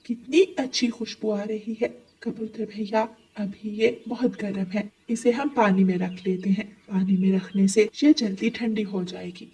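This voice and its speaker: A woman's voice: medium pitch, steady tone, a little slow, hardly any pauses, mellow, far from the mic, close to an everyday voice, rough recording. A woman voicing a kind, helpful young female sparrow in a children's cartoon. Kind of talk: Hindi cartoon story acting.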